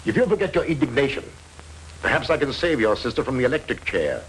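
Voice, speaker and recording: A man playing a persistent, wry investigator who narrates in measured, dry 1940s film style. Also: mid-range voice, very animated, a little hiss or hum, lightly acted, rough recording. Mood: calm. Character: dogged middle-aged male investigator, wry and persistent. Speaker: detective narrator.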